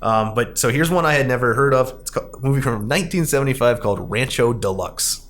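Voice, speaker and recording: A man's voice: mid-range voice, lively intonation, a bit bright. Rambling man hosting a casual podcast. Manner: rambling, halting, self-correcting. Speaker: podcast host. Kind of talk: casual discussion of films and arcade games.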